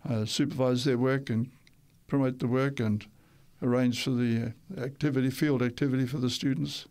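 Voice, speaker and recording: A man's voice: mid-range voice, steady tone, normal speed, a little mellow. An older man who grew up in Tonga, telling his life stories in a radio interview, rambling a little and correcting himself as he goes. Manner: rambling, with restarts and self-corrections. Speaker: interview guest.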